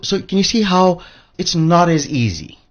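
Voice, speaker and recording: A man speaking as an expert radio guest, emphatic and repeating phrases for effect, with a husky voice. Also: high voice, big pitch swings, normal speed. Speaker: interview guest, pensions industry expert. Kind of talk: radio interview. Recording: dull lo-fi radio audio.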